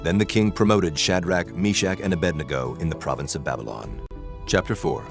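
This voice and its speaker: A man narrating scripture in a measured, solemn reading over a music bed. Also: deep voice. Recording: clear music bed behind.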